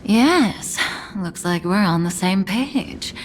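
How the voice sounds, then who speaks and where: A woman playing a composed, enigmatic adult woman in a video game, tender yet commanding, with measured, solemn delivery that is hushed at times. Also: medium pitch, expressive, slight background noise, poor audio. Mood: calm.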